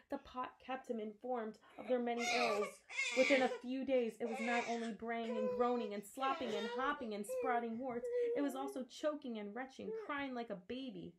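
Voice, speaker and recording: A woman reading a storybook aloud with expressive, dramatic storytelling delivery. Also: mid-range voice, background noise.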